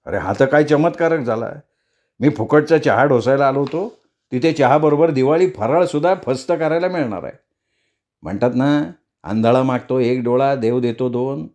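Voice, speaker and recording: A man's voice: medium pitch, moderate pace, darkish tone, close to an everyday voice. A man voicing a greedy, scheming, freeloading neighbour who wheedles and mutters asides in a comic story.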